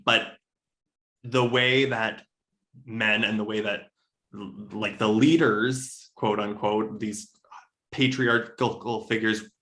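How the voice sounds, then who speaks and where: A man's voice: medium pitch, pushed and bright. A man speaking as a literary podcast guest in casual discussion, thoughtful and self-correcting, often checking his points with a tag question.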